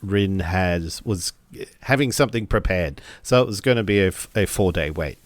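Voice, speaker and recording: A man improvising his character's actions in a tabletop game, halting as he searches for words. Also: low-pitched, lively intonation, dry recording. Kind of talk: improvised roleplay narration.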